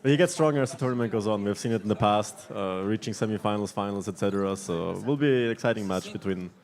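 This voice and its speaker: A man who plays in the tournament, answering questions in a relaxed, chatty way after his match. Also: fairly low pitch, fast talker. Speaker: player interviewed after a match. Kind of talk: casual post-match interview.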